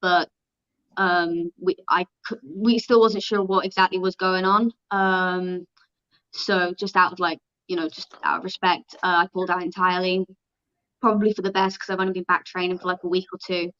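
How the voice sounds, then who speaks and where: A woman's voice: fairly low pitch, little pitch movement, a bit bright, clear, resonant tone, dull audio. A young woman from Wales, her Welsh accent tinged with American, answering interview questions casually and filling her speech with "like". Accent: Welsh with an American twang. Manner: casual, heavy use of "like", quick restarts. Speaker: guest, pro fighter.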